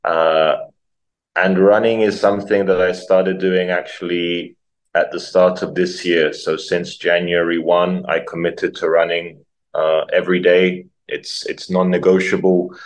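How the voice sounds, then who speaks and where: Low, flat-voiced man reflecting. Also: measured pace. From Croatia, raised in London. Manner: reflective, many ums and repeated words. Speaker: guest, nonprofit founder. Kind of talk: casual interview talk.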